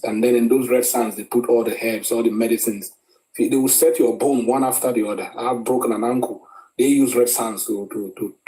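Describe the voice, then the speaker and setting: A Nigerian man speaks in a mellow, relaxed voice of middling pitch. He argues his points as commentary, pausing on fillers and repeating words as he goes. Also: rough recording. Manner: frequent hesitations and repeated words. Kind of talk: opinionated commentary.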